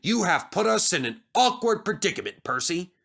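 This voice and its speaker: A man playing a stern, pompous middle-aged railway controller with a severe, deliberate manner, in a children's story. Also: high voice, sing-song, gravelly, a bit bright, slightly rough, voice pushed up, full character voice.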